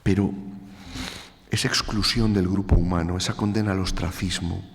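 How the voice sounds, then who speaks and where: A man's voice: deep voice, fairly even intonation, normal speed. A man from Spain with a Spanish accent giving an earnest, emphatic public lecture. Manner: earnest, emphatic, repeats phrases for stress. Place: large lecture hall. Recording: reverberant hall.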